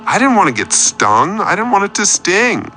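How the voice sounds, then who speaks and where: A man's voice: sits high, very animated, moderate pace, bright, forceful tone, exaggerated voice. An actor playing a needy, self-pitying man calling a radio show, with a confessional, theatrical and wordy storytelling manner. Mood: wounded pleading. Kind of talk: scripted comedic radio play monologue.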